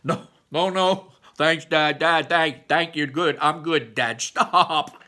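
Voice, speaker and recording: A man voicing a boisterous, excitable young elder brother in a read-aloud story, loud and exclamatory. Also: fairly high pitch, slow, pitched above the performer's own voice. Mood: flustered protest.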